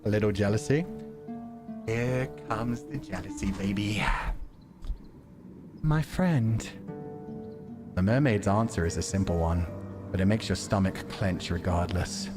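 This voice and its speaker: A man's voice: lowish voice, a little slow, pauses often. Male game streamer over prominent music. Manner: swearing, reacting aloud to the story. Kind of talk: live visual novel playthrough, casual commentary and narration.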